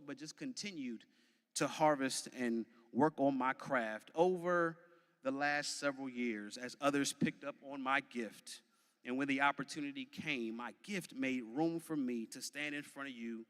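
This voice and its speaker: A man in his early thirties with an American accent gives a motivational commencement speech, emphatic and deliberate, with repetition and dramatic pauses like a preacher. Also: mid-range voice, fairly bright tone. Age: early 30s. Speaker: graduation commencement speaker. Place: large venue, graduation ceremony. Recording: long hall reverb from a PA.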